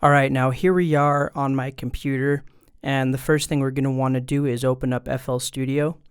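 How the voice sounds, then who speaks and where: A man's voice: medium pitch, fairly even intonation, moderate pace, smooth. A man presenting a casual step-by-step tutorial, talking informally and directly to viewers into a studio microphone at a desk. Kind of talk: casual step-by-step instructional explanation. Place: indoors at a desk. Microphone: studio microphone through an audio interface.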